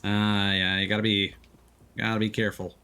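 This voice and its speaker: A man's voice: fairly low pitch, level tone, moderate pace, bright, forceful tone, smooth. A man giving casual, playful live game commentary and chatting with viewers, swearing freely. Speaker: live streamer, gamer.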